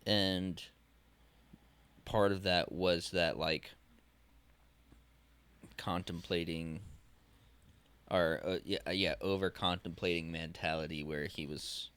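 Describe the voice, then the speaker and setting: Casting: low-voiced, unhurried young man, podcast co-host, halting and searching for words. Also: fairly even intonation, a bit bright, clear, resonant tone. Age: 20s. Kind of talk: casual podcast conversation.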